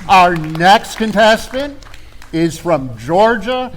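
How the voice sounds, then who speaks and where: A man's voice: sits high, sing-song, slow pace, fairly bright tone, a faint crowd. A man hosting a storytelling contest and announcing the contestants.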